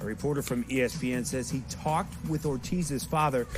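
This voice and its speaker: A male TV anchor reads breaking news in a brisk, formal broadcast style. A loud music bed plays under his voice. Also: medium pitch.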